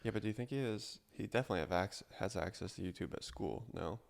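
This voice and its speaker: Podcast co-host man, gravelly low voice, dry teasing remarks. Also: quick pace. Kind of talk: casual chat.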